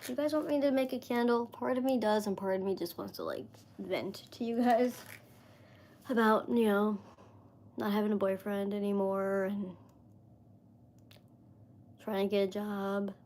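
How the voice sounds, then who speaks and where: A woman's voice: mid-range voice, steady tone, normal speed, long pauses, darkish tone, a little hiss or hum. A woman in her mid-thirties chatting casually on a live stream, rambling with lots of fillers as she talks to viewers. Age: 30s.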